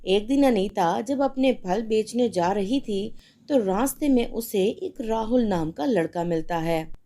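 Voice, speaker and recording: A woman's voice: mid-range voice, lively intonation, a little slow, mellow. A woman narrating a scripted children's fairy tale as the storyteller.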